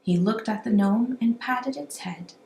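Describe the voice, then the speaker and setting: A woman reading a rhyming children's story aloud in an animated, amateur storytelling style. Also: fairly high pitch, measured pace, creaky. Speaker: amateur children's book reader. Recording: roomy echo, faint background noise.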